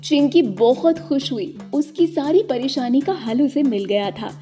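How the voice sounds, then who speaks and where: High-pitched woman narrating a children's story. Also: measured pace, dark tone. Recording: music bed behind the voice.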